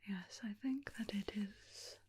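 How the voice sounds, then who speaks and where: A woman voicing a tender, protective, faintly menacing vampire companion in a soft, soothing ASMR roleplay whisper. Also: medium pitch, slightly rough. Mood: tender, reassuring. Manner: slow, soothing, coaxing with endearments. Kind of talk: ASMR roleplay, personal attention.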